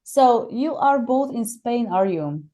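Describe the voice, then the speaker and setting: A woman's voice: on the high side, expressive, slow, warm tone. A woman with a Slovak accent hosting a casual interview podcast, keen and full of eager interjections. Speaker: podcast host.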